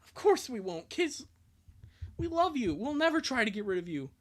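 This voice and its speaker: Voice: mid-range voice, sing-song, moderate pace, pitched above the performer's own voice. A performer voicing an earnest, consoling cartoon parent who speaks emphatically to the children in a fan-comic dub. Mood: concerned, firm.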